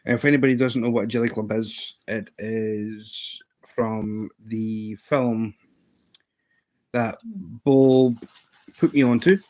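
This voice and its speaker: A man's voice: fairly low pitch, fairly even intonation, slow, darkish tone, low-quality recording. A Scottish man in his fifties hosting a casual live stream, rambling playfully with lots of ums and restarts. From Scotland. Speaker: livestream host.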